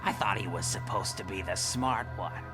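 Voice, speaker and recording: A man's voice: sits low, normal speed, music in the background, lightly acted. A man playing a crude, mocking mutant villain in a motion comic, with a sneering manner. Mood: gleeful, mocking.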